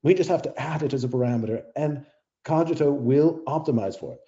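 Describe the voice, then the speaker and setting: A male actor plays a coldly rational male tech leader in an audio drama debate. He lectures bluntly and dismissively in a very mellow, dark timbre. The recording is slightly muffled. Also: medium pitch, fairly quick, close to an everyday voice. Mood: coldly argumentative. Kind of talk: radio play debate acting.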